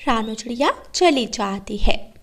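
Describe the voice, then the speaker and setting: A woman narrates a children's tale. Her voice is high. She takes the script at a slow, measured pace. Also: animated. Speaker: story narrator.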